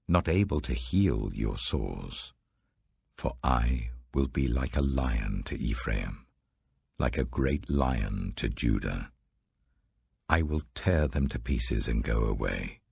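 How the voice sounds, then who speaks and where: Older male scripture reader: very deep, husky and gravelly, slow and solemn, building on proclamations; dry, close studio mic. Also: expressive, darkish tone, no echo. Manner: measured, solemn delivery that swells for quoted proclamations. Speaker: Bible reader. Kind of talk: scripted audiobook reading of scripture.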